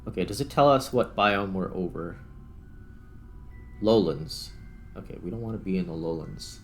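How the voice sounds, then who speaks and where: Low-pitched man thinking aloud while gaming. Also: moderate pace, a little hiss or hum. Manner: tentative, self-questioning, narrating each step. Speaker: gaming video host. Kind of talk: casual gameplay commentary, thinking aloud.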